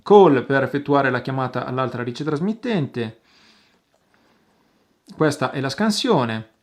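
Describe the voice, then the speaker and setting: A man hosting an outdoor gear review, explaining casually to viewers with halting pauses and repeated words. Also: mid-range voice, lively intonation. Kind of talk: casual product demonstration to viewers.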